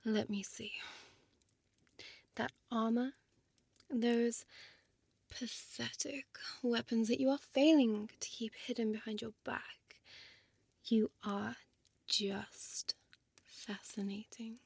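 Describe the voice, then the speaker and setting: A woman's voice: a little high, steady tone, slow pace, creaky, pauses often, a little mellow, husky, no room sound, heavily acted. A woman playing a seductive, menacing female vampire in an intimate audio roleplay, toying with her prey in a teasing half-whisper and archaic wording. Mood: condescending, menacing.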